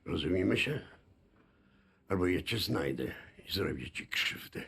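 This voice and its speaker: An actor playing a boastful Polish man who speaks with slow, menacing bravado in a game cutscene. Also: low voice, lively intonation, fairly bright tone, heavily acted. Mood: gruff menacing. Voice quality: gravelly, raspy. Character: gruff boastful Polish man, threatening protector.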